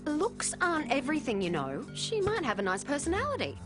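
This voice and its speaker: Female cartoon detective: fairly high, very animated, brisk, practical, questioning. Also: music in the background. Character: brisk, no-nonsense female fairy-tale police detective.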